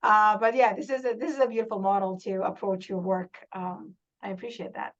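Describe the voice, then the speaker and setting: A woman's voice: mid-range voice, level tone, a little fast. Woman host interviewing, encouraging, lots of "you know", kinda muffled sound. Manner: warm, affirming, frequent "you know" fillers. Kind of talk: casual interview conversation. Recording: slightly dull audio.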